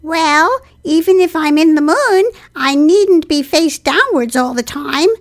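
A woman voicing a small, timid, anxious young piglet from a children's storybook, thin and squeaky, with an earnest, fretful manner. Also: animated, a little slow. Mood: puzzled. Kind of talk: children's storybook character reading.

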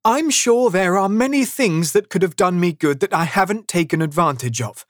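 A narrator voicing a cheerful, warm-hearted young nephew in a storybook, speaking earnestly and good-naturedly. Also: medium pitch, lively intonation, normal speed, no room sound, clean studio sound, voice pushed up, natural voice.

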